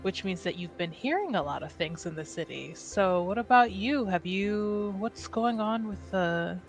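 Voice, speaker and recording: A woman speaking in character at a tabletop game, measured and pausing between phrases. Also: mid-range voice, soft background music. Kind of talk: in-character roleplay.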